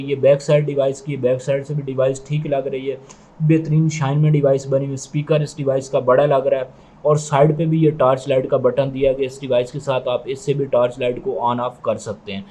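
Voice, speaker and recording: A man's voice: medium pitch, level tone, brisk, mellow. A man giving product review commentary, explaining methodically and repetitively, step by step.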